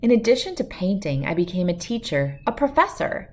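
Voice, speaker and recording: A woman reading a children's picture book aloud as a first-person story, with expressive, clearly phrased narration. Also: medium pitch, fairly quick.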